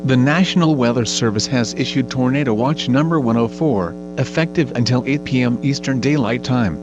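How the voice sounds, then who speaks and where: A synthetic man's voice reads an automated weather bulletin as a radio announcer, in a formal, measured, impersonal way. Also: medium pitch. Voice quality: synthetic text-to-speech voice. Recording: dull lo-fi radio sound with mains hum.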